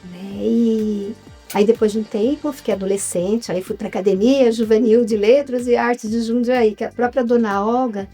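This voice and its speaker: Woman from brazil talking thru her career, soft mellow voice, lots of um and like, faint music under. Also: a little high. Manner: reflective storytelling, frequent hesitant fillers. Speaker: guest writer and former psychologist. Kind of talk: casual interview chat.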